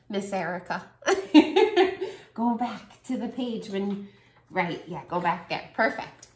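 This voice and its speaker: A woman in her thirties reading a picture book aloud to toddlers, stopping to ask them questions. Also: mid-range voice. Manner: warm, animated, asks children questions. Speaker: children's story reader and teacher. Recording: echoey room.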